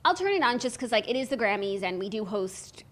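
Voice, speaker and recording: A woman's voice: a little high, very animated, brisk, fairly bright tone. A woman co-hosting a pop culture podcast, upbeat and gushing, with lots of fillers and restarts. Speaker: podcast co-host. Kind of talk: casual pop culture chat.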